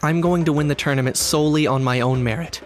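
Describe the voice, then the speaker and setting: A composed young male fighter from a video game, played by a man, speaks in a slightly high voice. He is self-reliant and deadpan, with faint music behind him. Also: fast, close to an everyday voice. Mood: cool, resolute.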